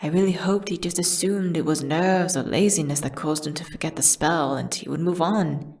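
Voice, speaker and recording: Low, hushed woman playing a solemn condemned witch. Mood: tense, regretful. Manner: hushed, breathy, measured dramatic delivery. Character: condemned witch solemnly recounting her tragic story. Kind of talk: dramatic first-person ASMR audio storytelling.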